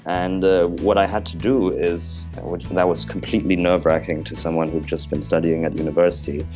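A man's voice: deep voice, flat delivery, brisk, music in the background. A young man from Germany, a stand-up comic, talking in a joking, self-deprecating way and laughing often. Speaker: stand-up comedian. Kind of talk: stand-up set and casual interview. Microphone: video call.

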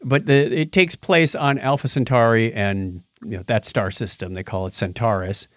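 A man's voice: medium pitch, moderate pace, fairly bright tone, no room sound, clean studio sound. A middle-aged or older man co-hosting a podcast, casually reviewing things in a rambling way and groping for names. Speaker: podcast co-host. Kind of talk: casual movie and book review chat.

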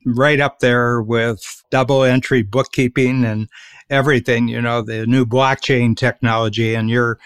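A man's voice: medium pitch, flat delivery, measured pace, clear, resonant tone, no room sound. A man hosting a conversational interview who asks friendly, rambling questions. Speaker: interview host.

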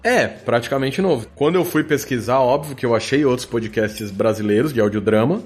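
A man's voice: medium pitch, brisk, husky. A man with a Brazilian accent talking casually as a podcast guest, informal, a bit sweary and self-deprecating. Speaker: podcast guest, audio drama producer. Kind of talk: casual chat.

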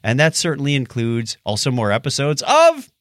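A man's voice: mid-range voice, wildly swooping pitch, normal speed, a bit bright, dry recording, clean studio sound. An American man co-hosting a podcast in casual chat, with a joking, teasing manner. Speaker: podcast co-host.

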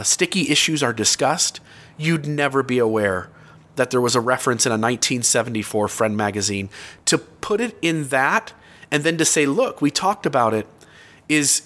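A man's voice: mid-range voice, bright, forceful tone. A man of about forty giving opinionated, conversational commentary as a podcast co-host.

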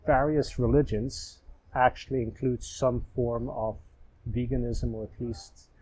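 A man's voice: mid-range voice, steady tone, unhurried, mellow, low-quality recording. A man hosting a podcast interview, curious and engaged, asking follow-up questions. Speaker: podcast host. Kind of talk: casual interview chat.

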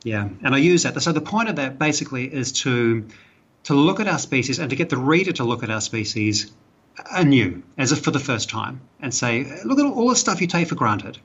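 A man with a New Zealand accent talks through ideas at length, pausing on fillers and restarting phrases. His voice is husky and mid-pitched. The sound is muffled and lo-fi, like a remote call. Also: sing-song, fairly bright tone. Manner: thoughtful, explanatory, with frequent fillers. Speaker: guest, author and psychology professor. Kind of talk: podcast interview.

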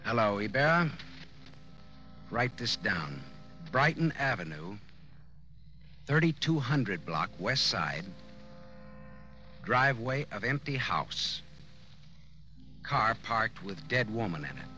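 A hard-boiled male detective in a 1940s radio play. His voice is very low and hard-edged, with a clipped, deadpan, sardonic delivery. The old recording is muffled, with music around it. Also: slow, pauses often, exaggerated voice. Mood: terse, weary. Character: hard-boiled male private detective, wry and world-weary. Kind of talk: 1940s radio detective drama acting and narration.

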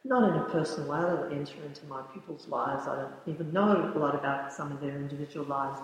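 A woman in her sixties, a yoga teacher, answering interview questions thoughtfully and often pausing to search for words. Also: low voice. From born in the United States, raised in the Bahamas. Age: 60s. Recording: very echoey room with long reverb.

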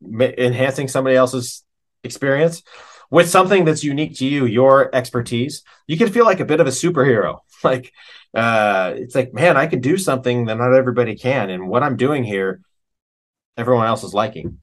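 A man's voice: mid-range voice, brisk. Casual American man telling musician stories. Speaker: interview guest, musician. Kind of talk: casual interview chat.

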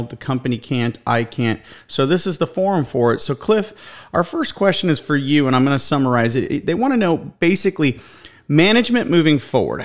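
Formal male conference call host. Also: medium pitch. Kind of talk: moderated corporate investor call.

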